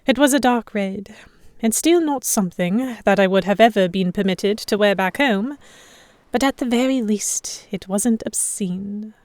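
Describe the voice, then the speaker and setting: A woman's voice: mid-range voice, measured pace, a little mellow, dry recording, natural voice. Woman as a stubborn heroine, close dry sound. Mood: puzzled, wary. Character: stubborn, sharp-tongued young woman held by pirates, narrating. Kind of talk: first-person audio drama narration.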